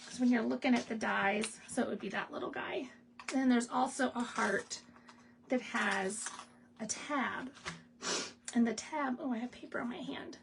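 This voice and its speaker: A woman hosting a live stamping demo, raspy and clearing her throat from asthma, chatting in a rambling way with laughs and asides. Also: a little high, moderate pace, background noise. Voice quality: gravelly, husky. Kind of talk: casual live craft demo chat.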